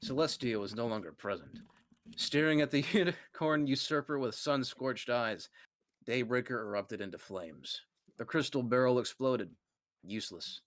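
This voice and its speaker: A man's voice: medium pitch, normal speed, no echo. A man narrating a comic fan fiction reading with dramatic storytelling flair. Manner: dramatic storytelling read aloud, occasional stumbles.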